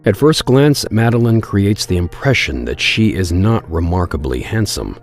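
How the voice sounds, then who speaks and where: A man narrating a Victorian melodrama in old-style radio theatre, formal, measured and theatrical. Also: on the low side, expressive, soft background music. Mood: solemn, dramatic. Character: grave, ornate storyteller of Victorian melodrama.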